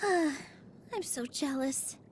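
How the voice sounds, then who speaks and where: An actress voicing a gentle, cheerful young woman dancer in a video game, speaking in a sweet, earnest, easily flustered manner. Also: sits high, animated, very slow, a little hiss or hum, heavily acted. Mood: cheerful, musing. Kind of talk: video game character voice lines.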